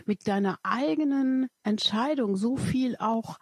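A woman hosting a podcast interview, speaking slowly and earnestly in long, winding sentences. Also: on the high side, a little mellow, slightly rough. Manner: slow, emphatic, speaks in long run-on sentences. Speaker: podcast host. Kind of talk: warm interview conversation.

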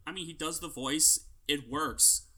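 A man's voice: on the high side, crowd noise. A man with a nasal voice co-hosting a podcast, chatting casually and arguing his points. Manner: argumentative, quick to disagree. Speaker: podcast co-host. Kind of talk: casual chat.

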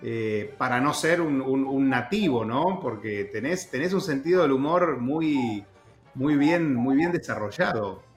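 A man hosts a relaxed conversation, asking open questions and encouraging his guest. His voice sits in a mid register in a roomy space, with faint music beneath. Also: mid-range voice, a little slow. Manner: relaxed, affirming, uses vos. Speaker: host. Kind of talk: casual interview.

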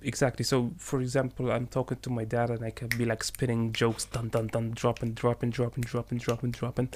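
A man who grew up speaking Arabic talks in a laid-back, easygoing way. He is quick to laugh and stops now and then to think mid-sentence, with a voice of ordinary pitch. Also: background noise. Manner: relaxed, laughs often, measured with pauses. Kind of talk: casual conversation.

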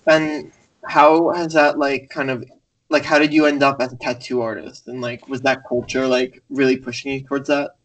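A man's voice: mid-range voice, little pitch movement, moderate pace, low-quality recording. A man hosting a casual podcast interview, friendly and full of fillers. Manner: friendly, filler-heavy, enthusiastic.

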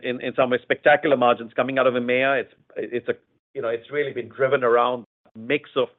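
A man speaking as a company executive, giving measured, explanatory answers in a semi-formal earnings call style, often repeating phrases. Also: medium pitch. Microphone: conference call line. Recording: narrow tinny phone-line sound.

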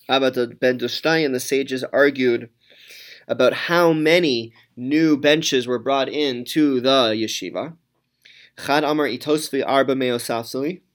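A man teaching a Talmud class, reading each Aramaic phrase and then translating it, with frequent pauses and ums. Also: medium pitch.

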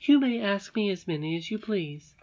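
A woman voicing a calm, wise, ageless grandmother figure from a storybook, in a measured, patient way. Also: mid-range voice, voice pushed up, lightly acted. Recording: dull lo-fi recording.

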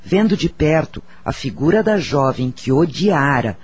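An actor playing a solemn, wise spiritual counsellor in a radio soap, preaching and storytelling in a measured way with a Brazilian accent. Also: male voice, a little high, lively intonation, a little mellow, slightly rough. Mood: grave storytelling.

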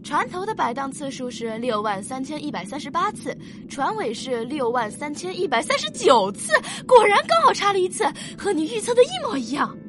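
A woman's voice: sits high, very animated, brisk, few pauses, background noise. A female performer playing a confident young child of a scientist in a radio drama. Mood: triumphant.

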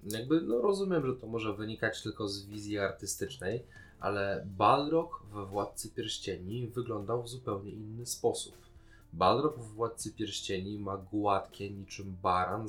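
A man speaks in a fairly low voice as a podcast co-host. He discusses a series in a casual, conversational style. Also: a little slow, fairly bright tone, soft background music.

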